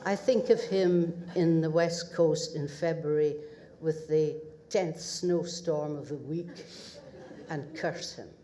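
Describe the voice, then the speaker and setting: Slow older woman, northeast Scottish accent. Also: low voice, mellow. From northeast Scotland. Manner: halting, with pauses and fillers, wry humour. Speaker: award recipient. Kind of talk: acceptance speech. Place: ceremony hall at a podium.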